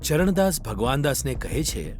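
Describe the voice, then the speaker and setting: A man's voice: fairly high pitch, sing-song, normal speed, a little hiss or hum. A man narrating a scripted moral story for children.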